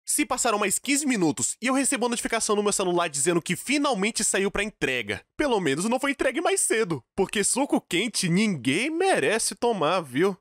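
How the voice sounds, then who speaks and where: A Brazilian man delivers comedic storytime narration with a very lively pitch that swings widely. His manner is exaggerated and dramatic, full of casual slang. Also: a little fast, hardly any pauses, no echo, studio quality. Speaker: storytime animation narrator, dubbing in Portuguese.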